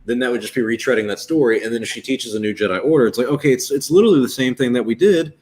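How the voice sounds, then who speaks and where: A man's voice: mid-range voice, rapid-fire, darkish tone. A man in his twenties hosting a casual livestream, rambling and restarting as he reads chat and chats aloud. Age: 20s. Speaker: streamer host.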